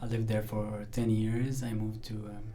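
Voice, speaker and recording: A young man from Palestine, a musician, speaking reflectively in a documentary interview with frequent pauses and fillers. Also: on the low side, flat delivery, mellow, a little hiss or hum. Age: young adult.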